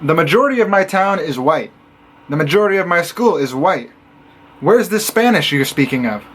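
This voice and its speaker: A young man from Illinois, a college student, telling stories in a casual vlog with animated sarcasm. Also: on the high side, moderate pace. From Illinois, USA. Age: young adult. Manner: animated and sarcastic, acting out others' lines.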